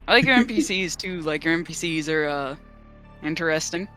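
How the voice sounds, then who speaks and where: A man's voice: a little high, expressive, fairly quick, bright, forceful tone, soft background music. A man who plays in a tabletop game, chatting after the session in an upbeat way, laughing often and saying "like" a lot. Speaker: player. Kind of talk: casual post-game chat.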